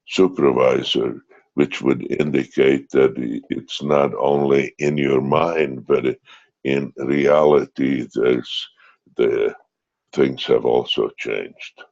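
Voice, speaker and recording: A man working as a therapist, introducing and questioning his client in a halting interview style. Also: rumbling low, vocal fry, a little mellow. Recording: dull lo-fi audio.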